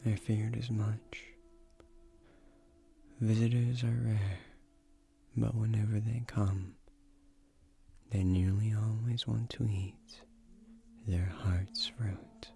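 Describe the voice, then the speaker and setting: Casting: male actor as millennia-old keeper of a magic tree, low gravelly voice, gentle, wry, formal, for fantasy audio roleplay. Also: flat delivery, faint music behind. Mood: grave, cautioning. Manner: measured, gently formal, soft-spoken. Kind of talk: slow soothing fantasy audio roleplay, scripted.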